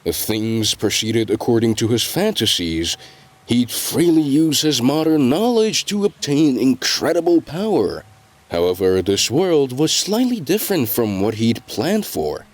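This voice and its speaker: Male story narrator with a lively range. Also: mid-range voice, unhurried. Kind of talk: scripted dramatic audiobook narration.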